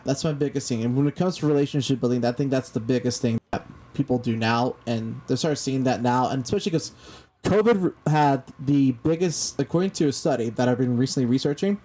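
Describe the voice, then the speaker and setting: A man's voice: medium pitch, fairly quick, mellow. A man in his late twenties chatting on a casual talk show. He rambles, circles back and restarts his sentences, but keeps an easygoing, conversational flow. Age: 20s. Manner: rambling, with many restarts and fillers. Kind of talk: casual chat.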